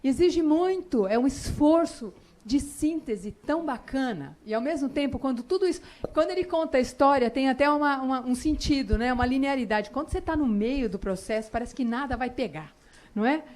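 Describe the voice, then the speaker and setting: A woman speaking on a conference panel in a large auditorium, expressive and emphatic as she answers questions. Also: high voice, a little fast. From Brazil. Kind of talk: enthusiastic panel talk.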